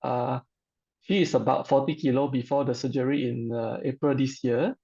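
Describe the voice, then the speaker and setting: A middle-aged man with a Malaysian accent, giving an informal personal testimonial in a halting, hesitant way. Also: mid-range voice, steady tone, creaky, dark tone, husky, lo-fi sound.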